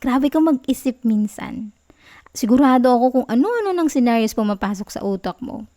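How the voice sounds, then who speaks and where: A woman with a Filipino accent playing a clingy, affectionate, playfully sulky girlfriend in an intimate ASMR roleplay, soft, cooing and teasing. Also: fairly high pitch, expressive, moderate pace, close to an everyday voice. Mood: tender, teasing.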